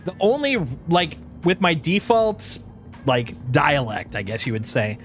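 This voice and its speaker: A man's voice: fairly high pitch, unhurried, faint music behind. A game streamer from Wisconsin, a man with a played-up Upper Midwest accent and a slightly nasal voice, chatting casually and joking often with folksy exclamations. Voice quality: a little nasal. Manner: joking and animated, laughs often, slips into exaggerated folksy exclamations. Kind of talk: casual live-stream chat.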